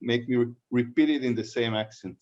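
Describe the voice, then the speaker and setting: A man with an Israeli accent presenting a lecture over a video call, speaking haltingly and restarting his sentences. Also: medium pitch, darkish tone, lo-fi sound. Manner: halting, with restarts and self-corrections. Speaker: guest presenter. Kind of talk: online lecture introduction.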